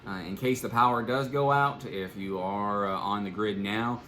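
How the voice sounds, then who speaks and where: A man giving a casual, unscripted product demonstration as a video host, halting and thinking aloud as he goes. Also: lowish voice, fairly even intonation, sharp tone, a little hiss or hum. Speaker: product reviewer and channel host.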